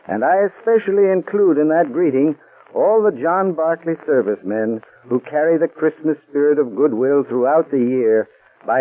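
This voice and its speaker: A man's voice: narrow, tinny audio, close to an everyday voice. A man playing a folksy heating salesman. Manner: folksy, warm-hearted sales pitch. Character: friendly male heating expert giving greeting. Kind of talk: 1940s radio ad. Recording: old radio broadcast, thin band.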